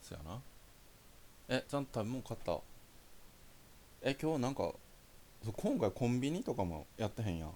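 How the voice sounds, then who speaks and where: A man's voice: mid-range voice, very animated, normal speed, long pauses, darkish tone, a little hiss or hum. A young man with a Kansai Japanese accent playing a caring boyfriend in a naturalistic phone-call audio drama, chatting casually and hesitantly. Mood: concerned, sympathetic. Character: caring young boyfriend reassuring his anxious girlfriend.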